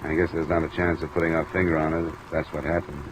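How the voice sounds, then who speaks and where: Low-voiced American radio detective, rain behind. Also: male voice, fast talker, poor audio. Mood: pressing, skeptical. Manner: clipped, persistent questioning, dry first-person narration. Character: tough, probing male freelance insurance investigator, narrating his case. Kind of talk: 1950s radio detective drama acting and narration. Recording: old radio recording with steady rain noise.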